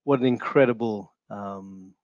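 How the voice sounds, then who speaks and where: Thoughtful South African man, halting. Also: lowish voice, a little mellow, dry recording, highs cut off. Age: 40s. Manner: thoughtful, halting, many fillers and restarts, voice dropping at phrase ends. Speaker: panelist. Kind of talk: reflective webinar discussion. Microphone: video call.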